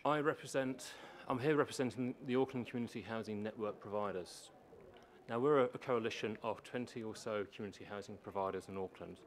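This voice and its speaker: A man with a low voice presenting a prepared submission at a public meeting, explaining and repeating points for emphasis. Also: fairly quick. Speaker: housing organisation manager presenting to a council. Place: council meeting chamber. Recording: meeting room with faint background noise.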